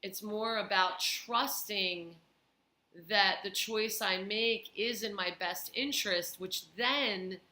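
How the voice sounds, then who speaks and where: A tired woman talking slowly and thoughtfully in a personal vlog, pausing often with fillers. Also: medium pitch, bright, forceful tone, roomy sound. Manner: slow, thoughtful, with many pauses and fillers. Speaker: vlogger.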